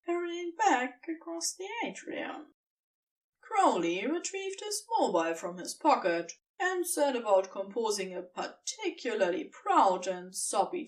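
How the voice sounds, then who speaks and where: A woman narrating fiction from a script in an expressive storytelling style. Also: a little high, recorded from a distance, rough recording.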